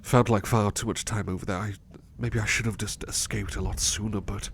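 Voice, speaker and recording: A man playing a devoted, anxious butler with a haunted past in an intimate ASMR roleplay, speaking softly and haltingly with stammers. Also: fairly low pitch, steady tone, slight background noise, lightly acted. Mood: wistful, pleading. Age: middle-aged or younger adult. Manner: hesitant, stammering, soft-spoken, drifting into whispers.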